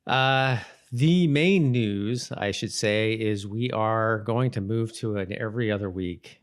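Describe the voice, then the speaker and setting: A man co-hosting a podcast in a casual, chatty style, often halting and rephrasing as he goes. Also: lowish voice, clear, resonant tone. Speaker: podcast host. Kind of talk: casual co-hosted chat about a TV show.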